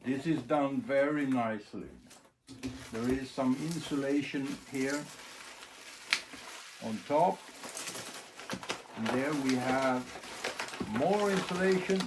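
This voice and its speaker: A man giving a casual, unscripted demonstration, thinking aloud in short, halting phrases. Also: mid-range voice. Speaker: record collector presenting a demonstration. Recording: clear background noise, clicks.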